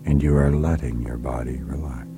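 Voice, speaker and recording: Male relaxation guide, very low voice, slow hushed delivery with whispered stretches, music underneath. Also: steady tone. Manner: soft, hushed, often sliding into a whisper; slow, soothing, repetitive commands.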